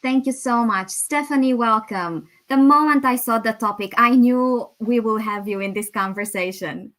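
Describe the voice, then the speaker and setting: A woman moderator welcomes each guest with effusive warmth. Her voice sits a touch higher than most. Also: normal speed. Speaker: panel moderator.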